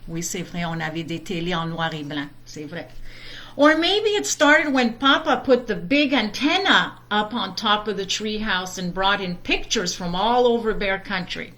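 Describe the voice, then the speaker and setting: A middle-aged woman reading a children's storybook aloud in an expressive way, with chatty asides. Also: medium pitch, moderate pace, poor audio. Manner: chatty, expressive, easily distracted by greetings. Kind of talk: animated children's storybook read-aloud with casual bilingual asides.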